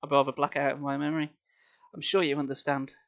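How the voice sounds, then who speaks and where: A man's voice: fairly high pitch, little pitch movement, fast, vocal fry, fairly bright tone. A man reading a story aloud on a live stream, theatrical and playful, with casual asides.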